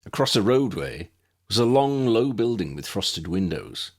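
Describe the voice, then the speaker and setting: A deep-voiced man narrates a story from a script. His pitch moves in lively sweeps, and the voice has a husky edge to it. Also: a little slow. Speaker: audiobook narrator.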